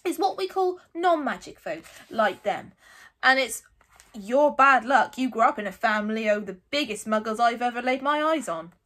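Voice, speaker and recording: A woman voicing a gruff, big-hearted giant of a man in a storybook, with folksy, blustering, sometimes halting speech. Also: fairly high pitch, lively intonation, bright, forceful tone. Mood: gruff, scornful. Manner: folksy dropped-word speech, halting when uneasy.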